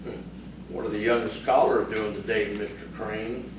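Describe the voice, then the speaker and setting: A man's voice: lowish voice, little pitch movement, a little slow, natural voice. A man playing a brash, teasing young country horseman and rival suitor in audio theatre. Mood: mocking. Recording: distant, very echoey, noisy stage recording.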